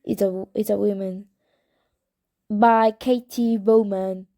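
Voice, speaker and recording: A young woman whose first language is Spanish, reading a novel aloud in English with a Spanish accent and stopping for casual asides, unhurried and correcting herself as she goes. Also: medium pitch, fairly even intonation, warm tone. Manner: unhurried, hesitant, self-correcting. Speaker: amateur reader of a novel.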